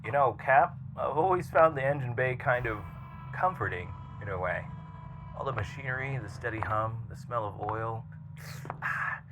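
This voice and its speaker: A man playing a seasoned, flirty and chatty spaceship mechanic in an intimate ASMR roleplay, teasing and breathy, dropping toward a whisper. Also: mid-range voice, lightly acted. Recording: clearly noisy ambient background hum.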